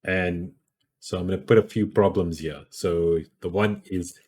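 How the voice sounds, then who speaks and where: A man with a deep, gruff voice giving casual advice on content creation in a rambling way, full of restarts. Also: fairly even intonation, a little slow, darkish tone. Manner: rambling, many restarts and fillers. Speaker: podcast host.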